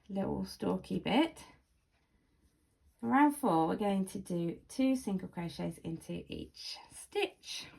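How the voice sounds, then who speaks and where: A woman leads a casual hands-on craft tutorial at a slow, unhurried pace. Her voice is slightly croaky with plenty of gravelly fry. She takes care to say each instruction clearly, recorded without an external microphone. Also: mid-range voice, a little mellow. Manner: careful, clear enunciation. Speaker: crochet tutorial host. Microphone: camera or phone's built-in microphone, no external mic.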